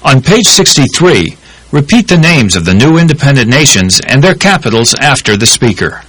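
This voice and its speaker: A man narrating a language course in English, giving measured, clear instructions. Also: medium pitch, big pitch swings, a bit bright, no room sound, rough recording.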